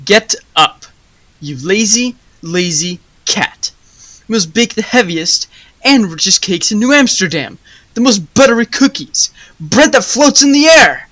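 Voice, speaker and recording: Young woman playing a sharp, bossy, stingy male baker. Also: mid-range voice, lively intonation, unhurried. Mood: scolding. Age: teenager. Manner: emphatic, theatrical, scolding. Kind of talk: high school radio play acting.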